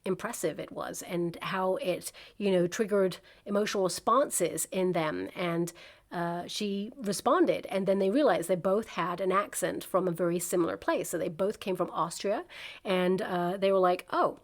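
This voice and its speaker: A woman giving a casual tarot reading, chatty and reassuring, often checking in with the listener. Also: on the low side. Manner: chatty and reassuring, frequent "okay?" asides. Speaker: tarot reader.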